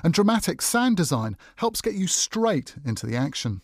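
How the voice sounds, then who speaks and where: A man's voice: high voice, big pitch swings, moderate pace, husky, dry recording, clean studio sound. A man presenting a scripted review in a narrated style.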